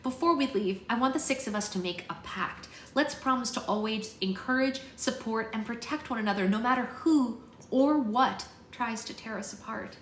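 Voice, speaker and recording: An earnest girl who leads her group , acted out by a woman reading a storybook. Her voice is lifted and encouraging, measured as she rallies the others. The room echoes around her. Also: fairly high pitch, voice pushed up, close to an everyday voice. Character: earnest, encouraging girl leader at a witch academy. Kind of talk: storybook character dialogue. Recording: roomy echo, faint background noise.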